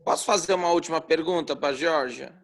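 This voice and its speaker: Halting Brazilian man, slightly high voice. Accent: Brazilian Portuguese. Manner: halting, slow with restarts. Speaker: club member asking questions. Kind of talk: casual meeting talk.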